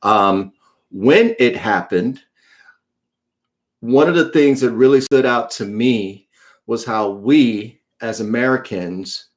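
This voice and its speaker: A man's voice: medium pitch, slow pace. A man, a children's author, speaking to an online audience in a live read-aloud in a friendly, direct way. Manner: friendly, chatty, addressing viewers directly.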